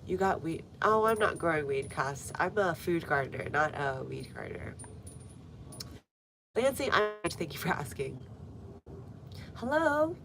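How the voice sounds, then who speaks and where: Stuffy-nosed low-voiced woman outdoors. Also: sing-song, a little slow, creaky, long pauses, background noise. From United States. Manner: chatty, reads viewer comments aloud, frequent fillers. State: stuffy nose. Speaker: gardening livestreamer. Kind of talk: casual live-stream chat. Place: outdoor front garden.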